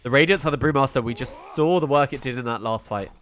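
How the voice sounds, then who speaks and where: A man's voice: medium pitch, quick pace, fairly bright tone, a little hiss or hum. A young British man giving solo play-by-play commentary on a live esports stream, chatting with his viewers between plays. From Britain. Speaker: esports caster.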